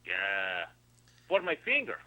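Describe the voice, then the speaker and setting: A man guesting on a comedy radio show, playing along in a halting, giggly way. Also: low voice, wildly swooping pitch, bright, forceful tone. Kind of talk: improvised comedic banter.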